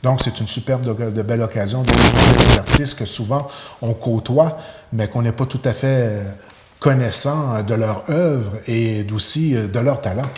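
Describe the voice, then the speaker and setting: A man's voice: mid-range voice, moderate pace, vocal fry, roomy sound, noisy background. A man hosting a TV interview, asking long, affirming questions filled with euh and là. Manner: wordy, affirming, fills with euh and là. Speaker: TV interviewer, host.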